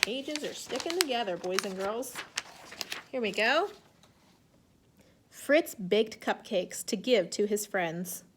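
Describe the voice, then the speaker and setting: A woman's voice: medium pitch, lively intonation, takes it slowly, long pauses, a little hiss or hum. A woman speaking as an elementary teacher to young children in a read-aloud lesson, instructing in simple phrases and asking questions.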